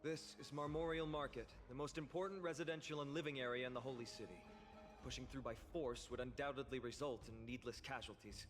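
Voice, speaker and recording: A man's voice: medium pitch, steady tone, fairly quick, close to an everyday voice. A man voicing a youthful, easygoing heroic swordsman in a video game, with a wry, theatrical delivery. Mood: composed, explaining. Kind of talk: dramatic video game voice acting. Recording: long reverb, loud music underneath. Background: game music.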